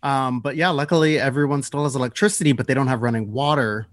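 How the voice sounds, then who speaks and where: A man's voice: medium pitch, fairly even intonation, fast talker. A man hosting a podcast in casual banter, with a playful, teasing manner. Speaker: podcast host.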